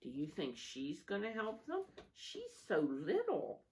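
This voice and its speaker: A low-voiced woman reads a children's story aloud at a slow pace. Her delivery is expressive and very melodic, with asides to her young listeners. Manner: expressive, asking listeners questions. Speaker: storybook reader.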